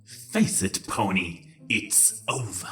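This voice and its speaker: A man's voice: low-pitched, fairly bright tone. A man voicing a smug male changeling who taunts and accuses with theatrical, mocking drawl in a comedy audio story. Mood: taunting. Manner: smirking, mocking, drawn-out.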